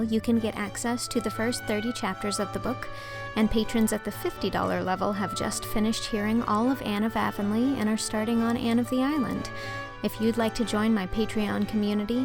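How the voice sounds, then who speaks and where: Highish-voiced woman narrating over music. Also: vocal fry. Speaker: narrator and producer. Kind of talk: scripted audiobook narration.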